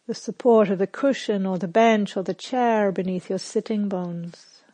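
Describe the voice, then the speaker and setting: A woman meditation teacher leading a guided meditation in a calm, gentle, measured manner with long pauses. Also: mid-range voice, no echo.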